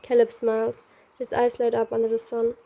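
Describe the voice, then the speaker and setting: A woman's voice: fairly high pitch, monotone, brisk, creaky, warm tone. A woman reading a scripted story aloud as narrator, also taking the heroine's lines. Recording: background music.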